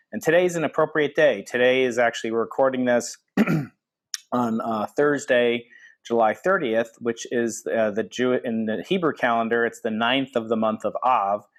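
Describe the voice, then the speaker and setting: A man hosting a podcast interview, speaking earnestly with many fillers and restarts. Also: mid-range voice. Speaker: podcast host.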